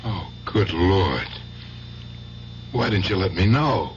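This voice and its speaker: Gruff, low-voiced club-owner uncle. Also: male voice, animated, slow. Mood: sympathetic. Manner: colloquial, tough-talking, brusque. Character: gruff, good-hearted uncle running a backroom gambling club. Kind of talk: radio drama acting. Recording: muffled radio drama recording, loud hiss.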